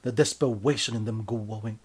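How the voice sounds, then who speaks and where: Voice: medium pitch, brisk, slightly rough. A narrator reading their own comic story in a mock-serious, theatrical, scripted style.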